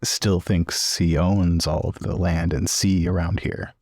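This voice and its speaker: A man improvising a story for a tabletop role-playing game in a conversational, halting, deliberate way. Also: sits low, little pitch movement, darkish tone, no room sound, studio quality.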